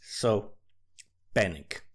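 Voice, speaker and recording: Profane, rambling man thinking aloud. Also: very slow. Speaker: amateur fantasy writer. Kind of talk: rambling off-the-cuff worldbuilding commentary.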